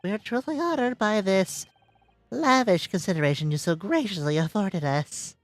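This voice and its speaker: A streamer voicing formal, earnest courtroom gentlemen from a video game, with stilted courtesy. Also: mid-range voice, animated, normal speed, no room sound, close to an everyday voice. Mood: flustered, deferential. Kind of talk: dramatic read of a video game script.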